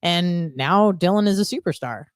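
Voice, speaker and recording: An American woman co-hosts in a casual, chatty way, slipping into little acted-out quotes. Her voice is slightly low for a woman, recorded dry and free of echo. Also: animated, normal speed, clear, resonant tone. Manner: conversational, peppered with "like" and acted-out quotes. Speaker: podcast co-host. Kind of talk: casual chat.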